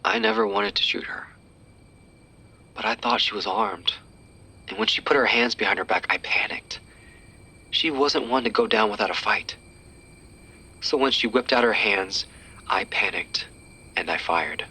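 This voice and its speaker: A man's voice: fairly low pitch, sing-song, unhurried, vocal fry, long pauses, pushed and bright, breathy edge, full character voice, low-quality recording. A man playing a troubled detective narrating his own case on tape in an audio drama, subdued and reflective. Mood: remorseful.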